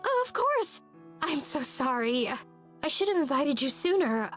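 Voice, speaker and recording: A woman's voice: high voice, big pitch swings, a little slow, a bit bright, soft background music, voice pushed up, exaggerated voice. A woman voicing a polite, earnest young exiled princess in a visual novel, with genteel, formal phrasing. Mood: warm, eager.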